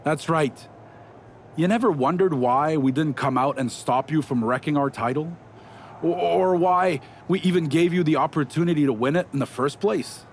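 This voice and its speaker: Guy playing smug wrestling bad guy, highish voice, sarcastic gloating promo, some noise behind. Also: moderate pace, natural voice. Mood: mock admiration. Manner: sarcastic, gloating, stuttering restarts for mockery. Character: smug, taunting male wrestling villain boss.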